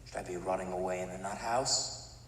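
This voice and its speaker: An actor in an audio drama playing a remorseless older criminal chemist, speaking in a measured, haughty, matter-of-fact way. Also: male voice, deep voice. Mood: calm, challenging. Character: cold, remorseless older male villain, an imprisoned mad chemist. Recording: echoey reverberant room, distant, faint noise.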